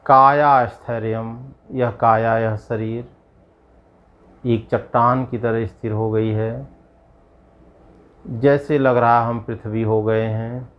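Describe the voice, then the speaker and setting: A man guiding a yoga nidra meditation as a yogi, giving measured instructions in short, spaced phrases. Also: mid-range voice, steady tone, muffled recording. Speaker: yogi leading guided meditation.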